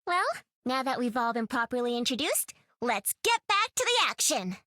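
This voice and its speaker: A woman's voice: on the high side, very animated, normal speed, sharp tone, no echo, studio quality. An actress playing an upbeat, confident young hero girl in a video game, casual and plucky.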